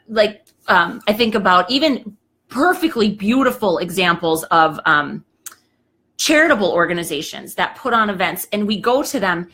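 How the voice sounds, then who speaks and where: A woman in her thirties or forties hosting a live show, giving a chatty, emphatic motivational talk and often saying "okay", her voice a little hoarse. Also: medium pitch, fairly bright tone. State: slightly hoarse, clearing throat.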